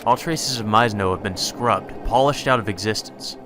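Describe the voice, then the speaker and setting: A man's voice: fairly low pitch, normal speed. A man narrating a visual novel playthrough, casual and sweary in his delivery. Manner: casual, heavily profane. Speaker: let's play streamer. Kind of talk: gameplay commentary and visual novel read-aloud.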